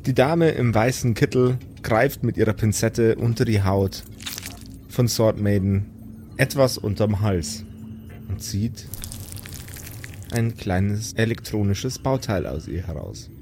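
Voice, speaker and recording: A man narrating an improvised tabletop audio drama as game master, with deliberate, dramatic phrasing. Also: fairly low pitch, animated, darkish tone, a little hiss or hum.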